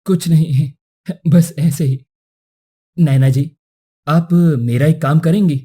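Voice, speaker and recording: Casting: man as noble, kindly young prince for cartoon tale; soft dark tone, slightly rough, formal delivery. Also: on the high side, steady tone, slow. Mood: courteous. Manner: formal, courteous, reassuring. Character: kind, earnest, protective young prince. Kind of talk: Hindi animated moral-story acting.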